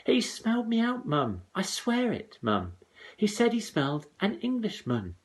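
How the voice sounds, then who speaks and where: A man voicing an eager, cheeky young boy in a high, exclamatory voice for a children's storybook rhyme. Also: huge pitch swings, a little slow, full character voice. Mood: frightened, insistent. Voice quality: high-pitched boyish voice.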